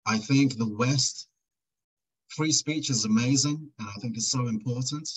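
A man's voice: medium pitch, unhurried, breathy edge, poor audio. A middle-aged man, a documentary maker, talking thoughtfully as a guest over a video call. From Britain. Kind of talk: casual interview chat.

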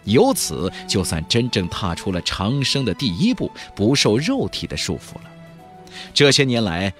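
A male audiobook narrator with a husky voice reads fantasy fiction dramatically. His intonation is extremely animated, around a middling pitch. Faint music plays behind him.

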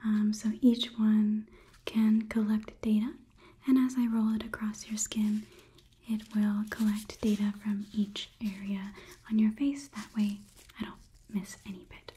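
A young woman playing a polite, clinical robot overlord in a gentle, methodical ASMR whisper, close to the microphone. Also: mid-range voice, flat delivery, measured pace, natural voice. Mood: calm, clinical. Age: young adult. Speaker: ASMR performer.

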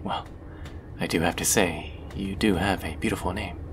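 A man playing a gentle, charming stranger on a train in a soft ASMR hypnosis roleplay, soothing and often drifting into breathy whispers. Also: fairly even intonation, normal speed. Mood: friendly, charming. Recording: loud train rumble behind the voice. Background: train ambience sound effects.

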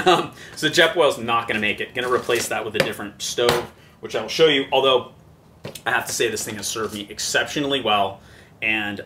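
A man's voice: on the low side, noisy background. A middle-aged man chatting casually about his backpacking gear in a backyard, with lots of ums and little laughs. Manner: chatty, self-deprecating, many ums and laughs. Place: backyard patio outdoors. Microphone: camera mic at a few meters. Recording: distant camera mic with faint outdoor ambience.

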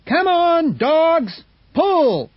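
A man's voice: fairly high pitch, big pitch swings, slow, dry recording, dull audio, voice pushed up, exaggerated voice. Man playing a cocky young guy, bossy and scornful, raised voice, storybook reading. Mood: shouting. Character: brash, stubborn young man of twenty.

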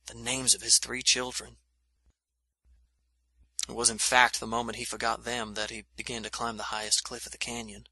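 An actor playing a shaken frontier soldier giving a grave, halting first-person account in an audio drama. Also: male voice, medium pitch, bright, forceful tone, no echo, poor audio. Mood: sombre. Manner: haunted, halting, grave delivery. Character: shaken frontier soldier recounting a monster attack.

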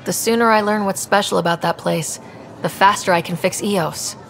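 An actress playing an earnest, resolute young human space explorer in a video game, with a natural conversational delivery. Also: female voice, mid-range voice, normal speed, gravelly, slight background noise, close to an everyday voice, rough recording. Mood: confident. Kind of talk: dramatic game voice acting. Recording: music under the voice.

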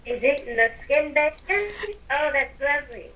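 Bright, high-voiced woman gamer on noisy chat. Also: animated, moderate pace. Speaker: gamer in roleplay video. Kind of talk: casual in-character gameplay chat.

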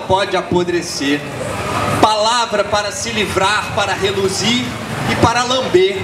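A man's voice: high-pitched, reverberant, heavy background noise. A young man performing spoken word poetry outdoors at night with a rhythmic, chanted delivery into a handheld mic. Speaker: poet performing. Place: outdoor street square at night.

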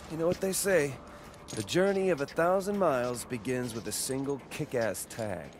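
An actor playing a cocky, wisecracking young American rebel in a video game cutscene, sarcastic and slangy. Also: male voice, medium pitch, moderate pace, close to an everyday voice. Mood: amused, wry. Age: young adult.

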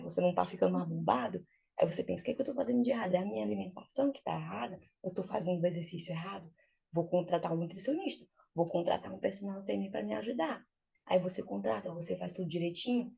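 Husky, creaky-voiced young Brazilian woman teaching. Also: fairly low pitch. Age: young adult. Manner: animated, uses rhetorical questions and acted-out examples. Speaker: English teacher. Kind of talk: informal explanatory teaching on a video call. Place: small plain room indoors. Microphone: video call, laptop close up.

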